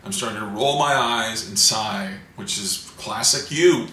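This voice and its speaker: A man in his forties, dry and teasing, chatting with a friend on a couch. His voice is bright and a little sharp, and it sits back from the mic in a roomy, echoey living room. A faint hiss runs under it. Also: lowish voice, unhurried, far from the mic. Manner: dry, wry and teasing, reads ads aloud. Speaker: co-host. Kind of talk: casual chat. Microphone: camera a few feet away.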